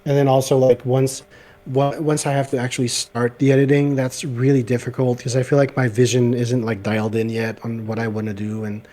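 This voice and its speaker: A man whose first language is French, chatting casually about making videos; his voice is slightly gravelly, he hesitates and fills with "like", and he is picked up on a laptop microphone. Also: medium pitch, warm tone. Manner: hesitant, many "like" fillers. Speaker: guest video creator. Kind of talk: casual podcast chat.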